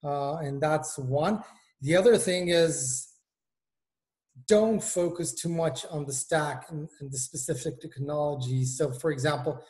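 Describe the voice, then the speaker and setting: Hesitant Israeli-born man, slightly high voice. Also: measured pace, darkish tone. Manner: hesitant, many fillers and restarts. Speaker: guest, engineering manager. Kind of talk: casual video-call interview. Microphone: video call.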